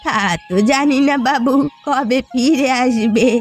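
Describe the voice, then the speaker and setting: A sorrowful old village fisherwoman, acted by a woman with a rather high voice and background music. She is poor and weary, and talks in a plaintive, folksy, resigned way, as in a cartoon tale. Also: measured pace. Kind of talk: Bengali cartoon story dialogue.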